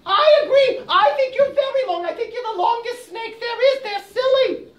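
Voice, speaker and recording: A man voicing a small, excitable male hedgehog who shouts and argues stubbornly. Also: very high-pitched, normal speed, muffled recording, pitched above the performer's own voice. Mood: squeaky insistent. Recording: roomy, from a distant mic.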